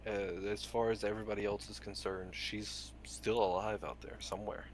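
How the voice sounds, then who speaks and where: Man playing a solemn, low-voiced reformed villain. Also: little pitch movement, lightly acted. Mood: grave, instructing. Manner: deliberate, earnest, halting phrasing. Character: reformed old villain ninja mentor, solemn and measured. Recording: noticeable background room noise.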